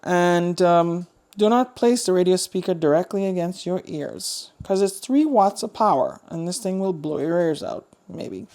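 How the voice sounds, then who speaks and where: A woman's voice: fairly low pitch, expressive, measured pace, hardly any pauses, dark tone. A woman giving a casual, chatty product review, thinking aloud as she demonstrates. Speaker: host of a radio review channel.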